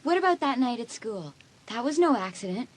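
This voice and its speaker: A woman's voice: a little high, very animated, brisk. An actress playing an anxious but caring teenage girl in a dramatised audiobook. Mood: anxious, pleading.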